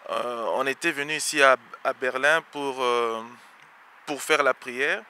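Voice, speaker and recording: A man with a very bright, forceful voice. He speaks slowly and hesitantly as an interview guest, repeating himself. Faint ambient noise sits behind him. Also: mid-range voice, fairly even intonation. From Congo. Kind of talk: unscripted religious commentary.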